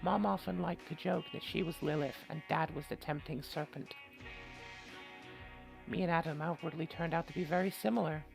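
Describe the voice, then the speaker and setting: A player reads as an earnest, uneasy young woman telling her own mystery story in a visual novel. The voice is very creaky and gravelly, with faint music underneath. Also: medium pitch, steady tone, moderate pace, low-quality recording. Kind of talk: scripted first-person visual novel narration.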